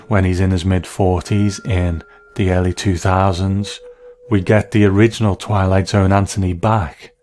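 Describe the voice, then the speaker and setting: A man with a very low voice narrates a scripted commentary in measured, deliberate phrases. Faint music plays underneath him. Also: a little mellow. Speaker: narrator, video essay host.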